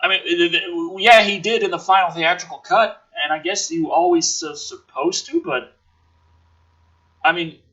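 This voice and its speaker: A man's voice: high-pitched, lively intonation, moderate pace, bright, forceful tone, dull audio. A man reading a film script aloud theatrically and riffing on it with sarcastic, sweary commentary.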